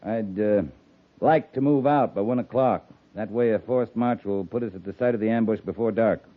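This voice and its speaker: A man's voice: on the low side, normal speed, lightly acted. An American man in a 1950s radio drama playing a stern, protective frontier cavalry captain with curt, authoritative military speech. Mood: steady, respectful. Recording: muffled, band-limited 1950s radio recording.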